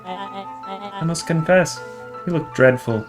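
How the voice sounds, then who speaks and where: A man's voice: sits high, takes it slowly, over music. A man voicing a commanding game villain, formal, mocking and coolly menacing.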